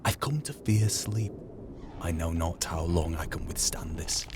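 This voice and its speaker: Man acting lonely old scholar, tormented, dramatic formal diary reading, low voice, slight background noise. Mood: dread. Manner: theatrical, formal. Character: tormented, lonely old male professor reading his journal.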